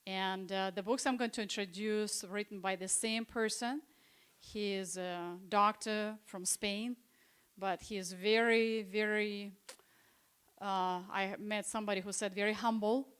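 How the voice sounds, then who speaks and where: Smooth-voiced woman presenting health books. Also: mid-range voice, fairly even intonation, measured pace, a bit bright. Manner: conversational, with frequent hesitations and restarts. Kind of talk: informal presentation at a church seminar. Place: church hall.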